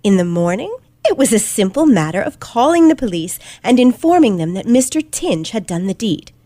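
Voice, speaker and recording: A woman's voice: medium pitch, very animated, normal speed, dark tone, dry recording. Woman doing a confident teen girl sleuth, old timey radio play, very swoopy and theatrical, dry close sound. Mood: explaining, relishing. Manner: theatrical, precise, dramatic emphasis. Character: teenage girl detective, confident, sharp, relishing her explanation.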